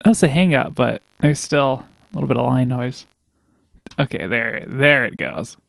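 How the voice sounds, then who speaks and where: A man's voice: medium pitch, moderate pace, vocal fry, dry recording. A man hosting a live comedy radio show with prank calls, rambling and stumbling over his words while laughing at himself.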